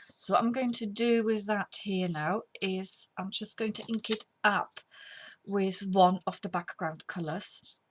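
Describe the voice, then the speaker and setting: A middle-aged woman with a slight cold, chatting casually and rambling while demonstrating a craft technique. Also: mid-range voice. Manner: rambling, chatty, drops to whispers while working. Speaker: craft tutorial host. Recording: faint background noise.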